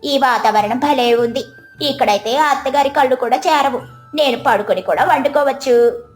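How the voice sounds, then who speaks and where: A woman's voice: sits high, normal speed, soft background music, close to an everyday voice. A woman voicing a whiny, dramatic village daughter-in-law in a moral story, with a plaintive manner. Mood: teasing. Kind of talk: moral story character acting.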